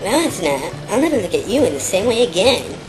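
A woman's voice: mid-range voice, sing-song, a little fast, over music, natural voice, low-quality recording. A woman voicing a ditzy, blithely catty teenage schoolgirl in a comedic anime parody, with a casual manner. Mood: deadpan teasing.

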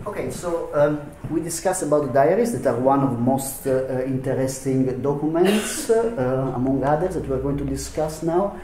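A man presents historical documents at a symposium in a hesitant, filler-laden way with non-native phrasing. His tone is mellow and dark. The room adds a noticeable echo. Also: mid-range voice, normal speed, hardly any pauses, slight background noise. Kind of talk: conference presentation. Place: lecture hall. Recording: echoey hall.

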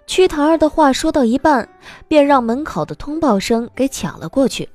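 Expressive, animated female narrator, soft tone. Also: high voice, normal speed. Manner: expressive storytelling. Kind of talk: scripted audiobook drama narration. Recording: music bed behind the voice.

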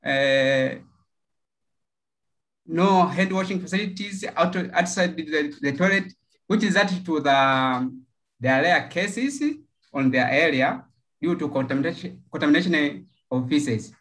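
A man from Tanzania, giving a prepared project pitch over a video call. He speaks haltingly, with many fillers and repeated words. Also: a bit bright. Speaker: project pitcher from a local NGO.

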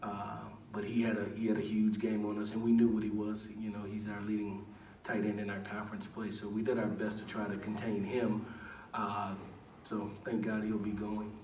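Earnest coach in an echoey press room. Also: male voice, lowish voice, completely flat delivery, darkish tone, recorded from a distance, a little hiss or hum. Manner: measured, earnest, many "uh" pauses and repeated words. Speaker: head football coach. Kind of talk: postgame press conference answers. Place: large press room with backdrop. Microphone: table mic, recorded from across the room.

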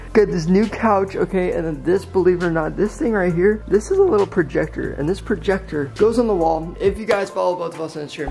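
A man's voice: fairly high pitch, gravelly. A young man talking casually and upbeat to a handheld camera inside a house. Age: young adult. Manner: upbeat, chatty. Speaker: vlogger. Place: house interior. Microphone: handheld camera at arm's length.

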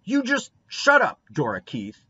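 A desperate little boy from a storybook, acted out by a male reader, blurts and pleads in childish, ungrammatical speech. The pitch swings widely. The sound is dry and a bit dull. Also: sing-song, measured pace, fairly bright tone, no echo, heavily acted. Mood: fierce. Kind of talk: storybook character reading.

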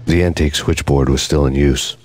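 Very deep, brooding noir cop. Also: male voice, fairly quick, background noise. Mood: brooding deadpan. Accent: American. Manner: brooding, deadpan. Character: brooding, world-weary male New York cop gone rogue. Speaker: narrator protagonist. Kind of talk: hardboiled noir voice-over narration.

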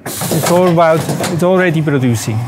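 Halting, higher-voiced executive man. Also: very noisy. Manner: halting, many restarts and fillers. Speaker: company CEO. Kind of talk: unscripted corporate interview and demo. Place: large company showroom with machines.

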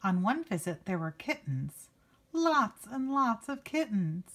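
A woman's voice: on the high side, very animated, a little slow, fairly bright tone, slight background noise. A woman reading a picture book aloud to children like a teacher, expressively stretching key words and adding casual asides. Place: indoors, book held before a handheld camera.

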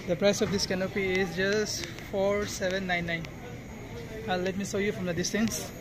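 A man in his thirties narrating a casual vlog as he walks through a shopping mall, pointing things out to viewers with a phone camera. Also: sits high. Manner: chatty tour-guide tone, points things out to viewers. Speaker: vlogger. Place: large indoor shopping mall. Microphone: handheld phone camera. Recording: noisy mall background.

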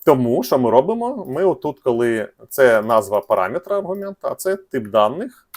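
A man's voice: medium pitch, big pitch swings, a little fast, darkish tone. A man hosting a casual coding tutorial, speaking in slangy, informal language and thinking aloud with hesitations. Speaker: programming tutorial host.

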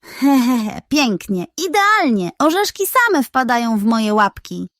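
A woman plays a sly male pug schemer from a children's storybook. The voice is very high and theatrical, greedy and full of wheedling flattery, with a dry, close sound. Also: measured pace, dry recording. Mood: gleeful.

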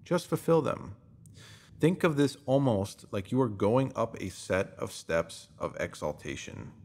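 A man narrating a scripted self-help text in an instructive, measured way, posing emphatic rhetorical questions. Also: fairly low pitch, sing-song, a little mellow. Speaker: narrator reading a written post.

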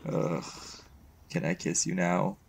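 Very deep, gravelly young boyfriend, acted by a man. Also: fairly even intonation, very noisy, heavily acted. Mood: shy affectionate. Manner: soft, halting, stammering when flustered. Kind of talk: intimate romantic audio roleplay, ASMR.

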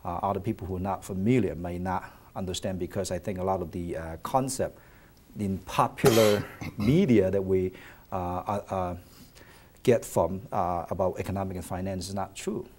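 A man in his thirties from China, a finance professor talking in a studio panel with many pauses and restarts. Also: low voice, darkish tone, a little hiss or hum. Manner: thoughtful, many hesitations and restarts. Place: television studio set. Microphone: lapel mic.

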